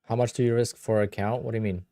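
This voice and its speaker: Man, Polish accent, low-pitched, blunt, casual stream chat, dry sound. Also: flat delivery, fast talker, warm tone, no room sound. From Poland. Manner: blunt, offhand, distracted. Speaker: livestream host. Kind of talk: casual livestream chat answering viewer questions.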